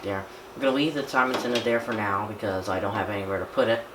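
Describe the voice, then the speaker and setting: Male unboxing vlogger, slightly low register, halting and casual, talking while handling gear; roomy, noisy camera recording. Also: steady tone. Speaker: YouTuber unboxing and setting up a radio. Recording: echoey room with clear background noise.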